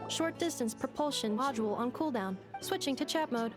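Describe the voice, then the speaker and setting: Woman playing a formal, high-voiced robot girl. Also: fairly quick, lightly acted. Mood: procedural, formal. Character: literal-minded, formal female robot helper. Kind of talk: scripted video game voice acting. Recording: game music behind the voice. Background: game music.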